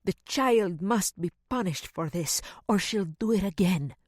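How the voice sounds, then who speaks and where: A woman's voice: medium pitch, animated, unhurried, a little mellow, dry recording, clean studio sound, voice pushed up. A woman voicing a stern, disapproving middle-aged housekeeper who scolds in stiff, formal phrasing. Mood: scolding.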